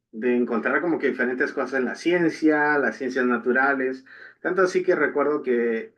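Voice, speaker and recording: A young man from Guatemala with a Guatemalan Spanish accent, co-hosting a podcast and telling his story in a casual, rambling way full of fillers and restarts. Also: on the high side. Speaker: podcast co-host. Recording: dull lo-fi podcast audio.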